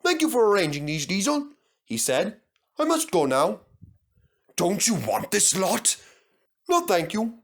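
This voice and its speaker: A man's voice: sits high, sing-song, measured pace, pauses often, voice pushed up, heavily acted. A man voicing a shrewd, cheeky male tank engine who sounds innocent.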